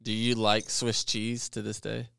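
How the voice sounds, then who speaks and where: A man's voice: lowish voice, monotone, unhurried, vocal fry, sharp tone, clear, resonant tone, no echo, studio quality. A man hosting a podcast interview, introducing his guest and asking simple questions. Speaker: podcast host.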